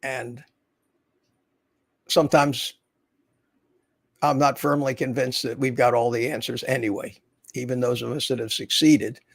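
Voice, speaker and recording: A man in his seventies talks casually to his livestream viewers in a rambling, folksy manner. His pitch sits in the middle range, and the recording is dry and close. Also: fairly even intonation, measured pace, vocal fry, pauses often, slightly rough, dry recording. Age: 70s. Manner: rambling, folksy, reads viewer comments aloud. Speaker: livestream host. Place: his home in Florida.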